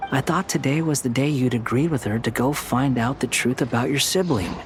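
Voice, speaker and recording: A man's voice: medium pitch, lightly acted. An actor playing an aloof, sardonic young male wanderer in a video game, blunt and dry but reflective. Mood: teasing, dry. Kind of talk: dramatic video game dialogue.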